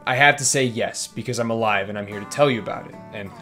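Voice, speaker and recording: A man's voice: fairly low pitch, fast, bright, forceful tone, soft background music. A young man narrating a vlog in voice-over, candid and self-deprecating as he looks back on his mistakes. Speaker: fishing vlogger.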